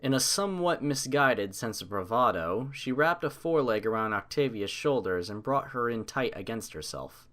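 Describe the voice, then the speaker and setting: A man's voice: mid-range voice, animated, normal speed, few pauses, fairly bright tone. A man narrating a scripted story as an audiobook reader.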